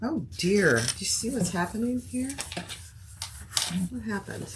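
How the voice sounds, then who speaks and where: Soothing, unhurried woman sewing live, chatting with viewers as she works, background noise. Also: medium pitch, sing-song. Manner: chatty, thinks aloud while working; talks to live viewers by name. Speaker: sewing instructor. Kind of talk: live-stream sewing tutorial.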